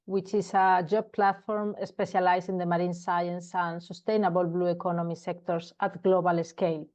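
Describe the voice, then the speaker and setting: A woman with a Spanish accent, a webinar guest giving a measured, formal self-introduction. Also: fairly low pitch, little pitch movement, a little mellow. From Spain. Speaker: webinar guest, job platform founder.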